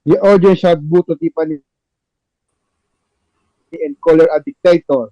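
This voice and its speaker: A man's voice: a little high, fairly even intonation, a little slow, dark tone, no room sound. A man reading stage directions as the narrator of a scripted table read, in a dull lo-fi recording.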